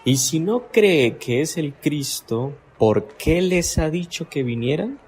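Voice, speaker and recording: A skeptical older townsman who fires off probing questions. His voice rises and falls with lively pitch, and he speaks slowly, with a faint noisy bed behind him.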